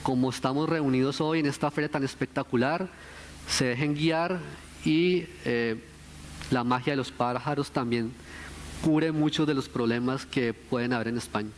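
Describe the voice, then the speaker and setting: A man with a Colombian accent speaking earnestly and deliberately at a conference, giving a talk and answering questions. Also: mid-range voice, a little hiss or hum.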